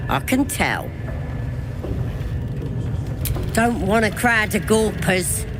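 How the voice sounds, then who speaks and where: An actress playing a sly, zealous goblin priestess in a fantasy game, drawling rough colloquial speech with a commanding manner. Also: female voice, medium pitch, animated, measured pace, natural voice. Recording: music under the voice.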